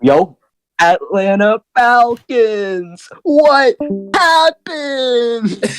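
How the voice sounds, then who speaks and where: A hoarse young man doing excited post-game commentary, laughing often and breaking into shouts. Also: very high-pitched, drawn-out words, faint music behind. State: hoarse, lost voice.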